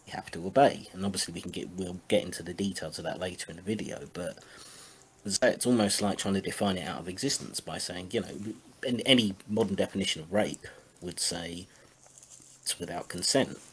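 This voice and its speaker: A man giving casual analysis in a tentative, measured manner as a panelist. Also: low voice, fairly bright tone, low-quality recording. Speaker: panelist on a commentary show. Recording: faint background noise.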